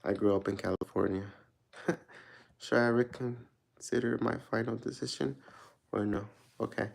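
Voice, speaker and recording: A man from Mexico doing a casual tarot reading for viewers, rambling and searching for words, relaxed and laughing at himself. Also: medium pitch, a little slow, gravelly. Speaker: tarot reader answering viewer questions.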